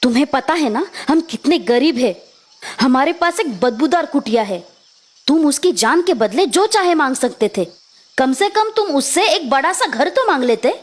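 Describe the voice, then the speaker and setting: A woman's voice: sits high, animated, moderate pace. A woman voicing a greedy, discontented fisherman's wife in a children's cartoon, demanding and melodramatic. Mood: indignant.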